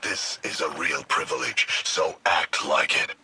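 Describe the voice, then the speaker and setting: A man's voice: extremely low pitch, unhurried, bright, forceful tone, husky, heavily acted. An actor voicing a smug, sneering little ghost henchman in a video game, taunting and cocky in plain slangy speech. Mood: sneering, defiant. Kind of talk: video game character acting.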